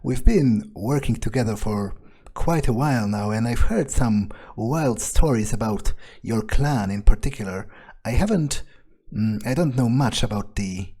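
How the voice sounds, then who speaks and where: A cynical, arrogant male vampire, improvised by a man playing a game. His voice is dark and relaxed at a middling pitch. He talks bluntly, with halting phrases and casual swearing. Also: breathy edge. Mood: curious, blunt. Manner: hesitant, blunt, profane. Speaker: gamer roleplaying his character. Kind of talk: improvised in-character video game roleplay.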